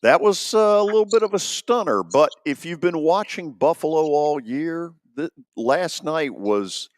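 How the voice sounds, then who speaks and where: An American man hosting a radio show, speaking in the manner of sports talk and sponsor reads, with a husky voice. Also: a little high, animated, measured pace, no echo, studio quality.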